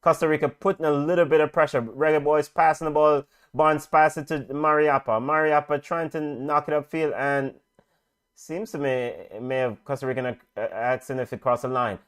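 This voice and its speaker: A middle-aged man commentates on a football match as it happens. His voice sits a little high for a man, and he goes through the play-by-play haltingly, repeating phrases. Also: steady tone. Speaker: football watch-along commentator. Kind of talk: live football commentary with casual chat.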